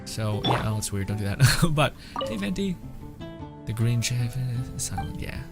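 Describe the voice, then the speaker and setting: A man's voice: mid-range voice, very animated, moderate pace, vocal fry, over loud music. Casual male game streamer thinking aloud. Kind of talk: casual gameplay commentary.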